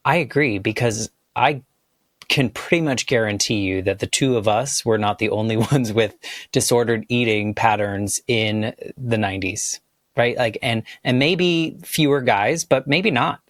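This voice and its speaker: A man's voice: medium pitch, a little slow, dry recording, studio quality. A man hosting a podcast, chatting in a supportive, loose and rambling way. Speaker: podcast host. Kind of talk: casual interview chat.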